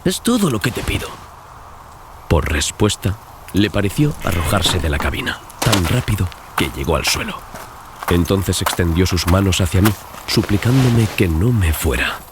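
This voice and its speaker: A man's voice: low voice, lively intonation, normal speed, noisy background. A man narrating a dramatized gothic horror audiobook as a scripted reading. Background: loud music and sound effects.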